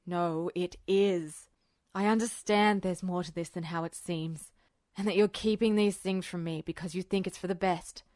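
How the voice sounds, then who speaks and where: Woman reading a guilt-ridden young soldier for a storybook podfic: low, strained, pleading, dry close sound. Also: fairly even intonation, moderate pace, darkish tone, dry recording, lightly acted. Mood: insistent.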